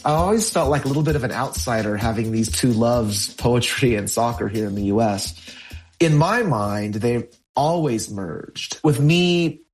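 A man's voice: medium pitch, lively intonation, normal speed, breathy edge, faint music behind. A middle-aged American man, a poet, talking reflectively as a guest in an interview. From United States, California.

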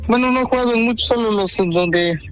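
A man's voice: extremely high pitch, fast talker, faint music behind, rough recording. A man from Guatemala, the target of a prank call, giving shy and hesitant short answers.

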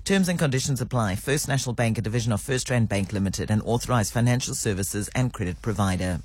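A man's voice: mid-range voice, quick pace, background noise. A man presenting on breakfast radio, upbeat and inviting listeners to vote. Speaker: radio host.

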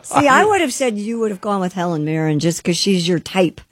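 A woman's voice: medium pitch, big pitch swings, a little fast, dry recording, studio quality. Exclaiming woman co-host. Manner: reactive, exclaiming. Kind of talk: casual banter.

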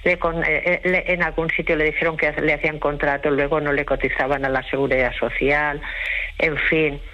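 A tired woman interviewed by phone, giving a personal account in a halting, reflective way. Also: low-pitched, level tone. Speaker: interview guest, host to migrants. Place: remote phone call. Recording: muffled, band-limited phone call.

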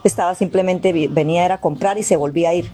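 Indignant woman, low mellow voice. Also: fast, soft background music. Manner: indignant recounting, hesitant fillers. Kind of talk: radio talk.

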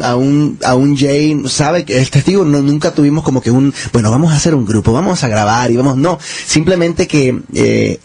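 A man's voice: medium pitch, creaky, a little mellow, breathy edge. A man from the United States, a band leader giving eager, rambling answers in a radio interview, often restarting his sentences.

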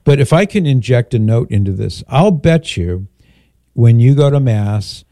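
A middle-aged man hosting a call-in radio show, giving measured, gently persuasive pastoral advice to callers. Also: mid-range voice, expressive, no room sound, clean studio sound.

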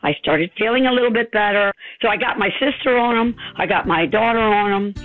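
A woman's voice: mid-range voice, brisk. A woman giving a folksy customer testimonial. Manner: folksy, warm-hearted chatter. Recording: tinny phone line with faint music.

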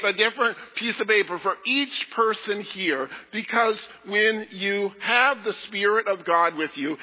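Guy pastor chatting gently w the kids, slightly high voice, a bit husky, echoey sanctuary. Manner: gentle, playful, talking to children. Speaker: pastor leading a children's message. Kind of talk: children's message in a church service. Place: large church sanctuary. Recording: echoey hall, dull lo-fi sound.

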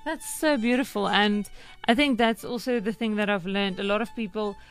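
A woman's voice: medium pitch, normal speed, over music. A young South African woman with Afrikaans as her first language, hosting a conversational radio feature in a chatty way with frequent ums. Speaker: radio presenter.

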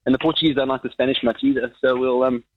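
A man's voice: mid-range voice, steady tone, very fast, breathy edge, no echo. A South African man calling in to a radio show, chatting casually with many restarts while out walking his dog. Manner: rambling, many restarts and fillers. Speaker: caller. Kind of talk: casual phone-in chat. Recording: muffled phone line.

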